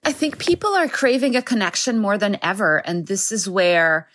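A woman's voice: mid-range voice, very animated, moderate pace, a bit bright, no room sound. A woman from Egypt, a scientist and founder, answering interview questions from home thoughtfully and with fillers.

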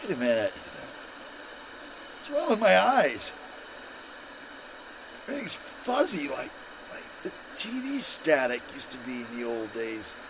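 Woman acting a stammering, dramatic horror heroine, widely swinging pitch. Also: very animated, slow, long pauses, noisy background, heavily acted. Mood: drowsy. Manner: stammering, breathless exclamations, dramatic. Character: frightened, groggy woman waking up trapped in her bedroom. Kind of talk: old-time radio horror acting.

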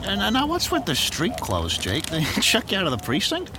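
Lively, high-pitched newsstand wisecracker. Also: male voice, normal speed. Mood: needling, skeptical. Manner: slangy, wisecracking. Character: brash, grumbling 1930s street newspaper vendor. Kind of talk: period noir audio drama acting. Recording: noisy city street ambience.

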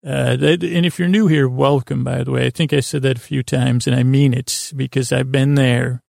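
A man with a creaky, gravelly voice hosting a sleep podcast in a meandering, halting monologue that keeps interrupting itself. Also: mid-range voice, steady tone, darkish tone, dry recording, clean studio sound. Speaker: podcast host.

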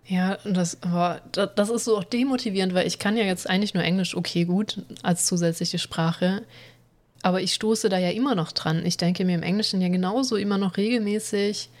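A woman's voice: mid-range voice, fairly even intonation, brisk, darkish tone. A woman co-hosting a casual podcast, chatting loosely with a self-deprecating, laughing manner. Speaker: podcast co-host.

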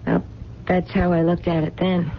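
A woman's voice: fairly low pitch, little pitch movement, normal speed, creaky. An actress playing a lonely, stubborn, dreamy woman who clings to romance, speaking in plain colloquial words in a radio drama. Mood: wistful, hesitant. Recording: muffled, noisy 1970s radio broadcast.

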